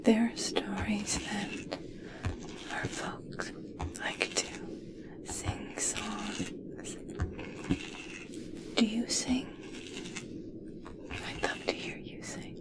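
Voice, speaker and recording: Woman playing infatuated female scientist, ASMR roleplay. Breathy whisper, unhurried, halting, tenderly fixated. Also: mid-range voice, wildly swooping pitch, exaggerated voice. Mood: dreamy fascination. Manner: slow, gentle, halting, fixated. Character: obsessed, adoring female scientist studying a captive merperson.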